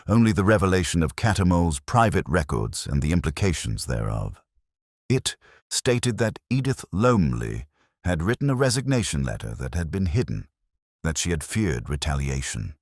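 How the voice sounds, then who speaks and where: A man narrates a detective mystery in an extremely low voice. His delivery is measured and literary, with lively movement in the pitch. The sound is close and dry. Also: dry recording. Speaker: story narrator.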